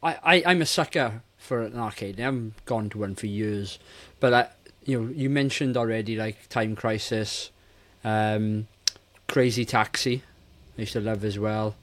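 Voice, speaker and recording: A man's voice: on the low side, normal speed, fairly bright tone. A British man in his forties talking casually as a co-host on a film review podcast. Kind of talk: casual chat.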